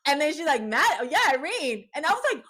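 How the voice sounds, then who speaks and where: A woman's voice: high voice, big pitch swings, quick pace, a bit bright. Chatty California woman who laughs a lot. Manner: chatty, laughs often, switches into Spanish. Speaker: host. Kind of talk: casual podcast chat.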